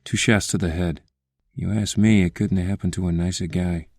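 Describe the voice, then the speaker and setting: An actor playing a brash, sardonic district attorney with a gravelly, husky voice in an audio drama. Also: male voice, low-pitched, fairly even intonation, moderate pace, darkish tone, dry recording. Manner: sardonic, cocky, informal. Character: brash, sardonic male district attorney, vengeful yet tender husband. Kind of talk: fan audio drama acting. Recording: music under the voice.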